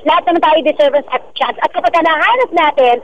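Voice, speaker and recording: High-pitched Filipino woman hosting radio. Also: fast talker. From Philippines. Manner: playful, exclamatory, mixes in English phrases. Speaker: radio show host. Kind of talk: bantering radio chat. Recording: dull band-limited radio audio with noise.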